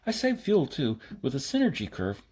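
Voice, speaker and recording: A man's voice: medium pitch, wildly swooping pitch, moderate pace, darkish tone, slightly rough, pitched above the performer's own voice. A man voicing a cheerful young woman of about twenty-one, a sweet, eager spaceship pilot in an audiobook. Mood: bright, eager.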